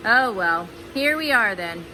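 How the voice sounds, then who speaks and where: A woman's voice: mid-range voice, lively intonation, a little slow, sharp tone, a little hiss or hum, poor audio. A woman voicing a kindly old fisherman in a children's storybook, talking in a folksy, colloquial way. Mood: cheerful, folksy. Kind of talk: children's storybook read-aloud.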